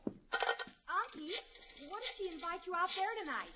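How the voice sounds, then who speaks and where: An actress playing a sensible, affectionate young niece, sweet and gently reproving, in an old radio sitcom. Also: female voice, extremely high pitch, very animated, measured pace, exaggerated voice. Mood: gently chiding. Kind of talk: 1950s radio sitcom acting. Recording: muffled, noisy old radio recording.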